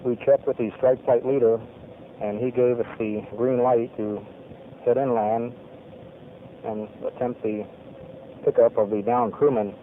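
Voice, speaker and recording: A man, a navy pilot, giving a formal spoken debrief of a mission in measured, clipped military phrasing. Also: medium pitch, steady tone, slight background noise. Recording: tinny lo-fi archival radio recording.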